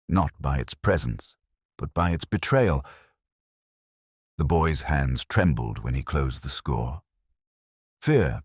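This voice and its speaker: A man playing a weary, razor-minded police inspector, narrating a detective audio drama in a measured, reflective, literary way. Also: sits low, dry recording, studio quality, exaggerated voice.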